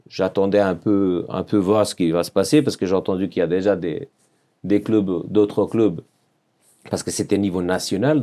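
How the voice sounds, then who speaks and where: Man, 40s, Serbian accent, very deep voice, earnest storytelling, repeats himself while finding words. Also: dark tone. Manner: earnest, searching for words in French, repeats phrases. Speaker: podcast guest. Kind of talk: personal storytelling in an interview.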